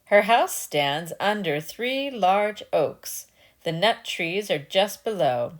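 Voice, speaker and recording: Woman as sweet little girl, raised lively pitch. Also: slow pace. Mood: trusting. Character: sweet trusting little girl, Little Red Cap.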